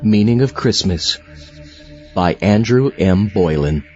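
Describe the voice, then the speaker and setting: A man's voice: on the low side, lively intonation, slow. A man hosting a podcast, introducing segments to his listeners. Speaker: podcast host. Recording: muffled lo-fi, music underneath. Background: music.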